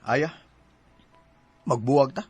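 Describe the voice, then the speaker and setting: A man's voice: mid-range voice, slow pace, a little mellow, husky, no room sound, faint music behind. A man with a Cebuano Filipino accent playing a hurt, fed-up young boyfriend who complains plaintively in a radio drama. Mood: resigned. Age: young adult. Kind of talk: Bisaya radio drama acting.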